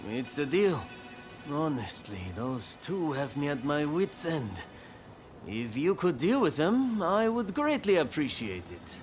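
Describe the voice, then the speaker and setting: A genial, harried samurai overseer for a video game. His lightly high voice moves slowly and swings widely in pitch, with polite hesitations. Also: big pitch swings, faint music behind. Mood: relieved. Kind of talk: scripted game cutscene acting.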